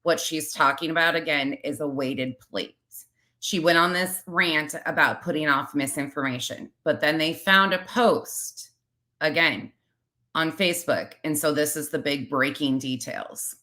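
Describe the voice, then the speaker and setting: A woman with a low-pitched voice that cuts through brightly and forcefully. She hosts casual reaction commentary and talks bluntly, with a sarcastic, sweary edge. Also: measured pace. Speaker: commentary channel host.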